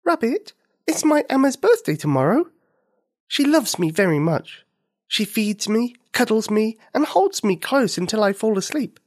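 A woman voicing a loving, earnest little girl in a children's story, with a high, pleading childlike voice. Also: big pitch swings, unhurried, darkish tone.

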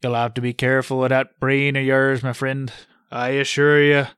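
A man's voice: medium pitch, little pitch movement, normal speed, a bit bright, clean tone, no room sound, studio quality, voice pushed up, lightly acted. A man voicing a bluff, hearty, mocking Irish country doctor with an emphatic, scolding manner in a storybook reading. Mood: grimly reassuring. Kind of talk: audiobook character voice.

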